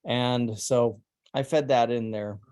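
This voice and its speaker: A middle-aged man presenting his artwork at an online salon, speaking haltingly with many ums and restarts. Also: medium pitch, fairly even intonation, a little mellow. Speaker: presenting artist. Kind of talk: online salon artist talk.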